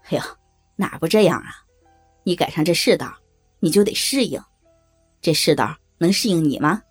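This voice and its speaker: A man's voice: a little high, animated, normal speed, breathy edge, soft background music. An actor playing a coarse, leering rural man with a Northeastern Chinese accent, blunt and pressing, in an audio drama. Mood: cajoling, firm. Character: coarse, leering rural brother-in-law, domineering and cajoling.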